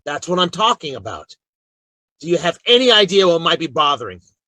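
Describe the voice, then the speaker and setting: An American actor playing a gruff, stubborn frontier lawman in a western radio drama, terse and brooding. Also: a little high, sing-song, fairly quick, bright, forceful tone, dry recording. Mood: exasperated.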